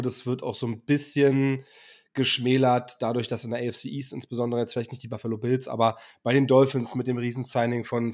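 A young man co-hosting a casual podcast, a bit under the weather, speaking hesitantly with many fillers and hedges. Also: medium pitch. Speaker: podcast co-host.

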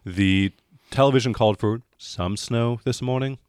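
A man's voice: medium pitch, normal speed, darkish tone, smooth, dry recording, clean studio sound. A man running a tabletop role-playing game as game master, improvising the narration in a conversational way.

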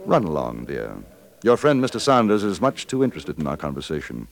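Animated, urbane gentleman adventurer. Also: extremely low pitch, brisk, gravelly, heavily acted. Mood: dryly dismissive. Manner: polite, urbane, formal, understated. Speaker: lead actor and narrator. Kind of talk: 1950s radio western acting, first-person narration.

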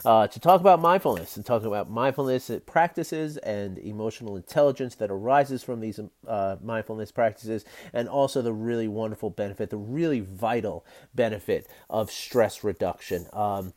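Man, podcast host, scratchy tired voice, slightly low pitch, rambling earnest chat, many fillers. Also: moderate pace. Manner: rambling and earnest, frequent fillers and soft laughs. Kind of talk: casual solo podcast talk.

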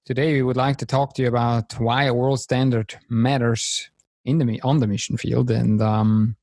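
A Swiss man with a Swiss German accent hosts a podcast in English, his second language. His voice is gravelly and mid-pitched, and he talks in a halting way with many fillers. The sound is dry and close. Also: little pitch movement, a little mellow, no room sound. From Switzerland. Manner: hesitant, halting phrasing with fillers. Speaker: podcast host, missionary. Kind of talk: casual podcast conversation.

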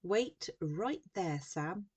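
A woman's voice: on the low side, moderate pace, a little mellow, breathy edge. A woman voicing a caring, practical grandmother in a storybook, calling out to her grandson in a motherly way. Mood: caring, insistent.

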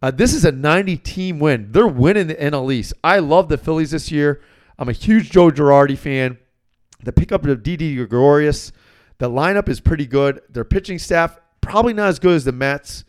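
An American man hosting a sports podcast as a coach, talking casually and bluntly in an animated storytelling way. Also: fairly high pitch, normal speed. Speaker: sports betting podcast host and basketball coach.

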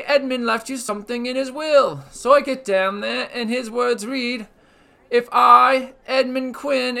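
A man voicing a rambling, laid-off cousin who now hosts a podcast game show, with a high put-on voice and slangy bluster. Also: sharp tone, smooth, heavily acted. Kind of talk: comedic character monologue on a podcast. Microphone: podcast mic.

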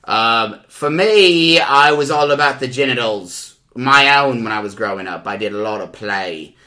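A bumbling, self-important talk-show host, played by a man in an improvised comedy bit. He has a bright voice at an ordinary pitch, and he rambles along with plenty of ums and restarts. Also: lively intonation, unhurried. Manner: rambling, hesitant, full of ums.

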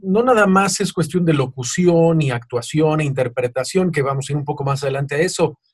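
A man with a Mexican accent hosts an interview in a notably high-pitched voice. He puts questions to the guest in a conversational way. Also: fairly quick. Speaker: interview host.

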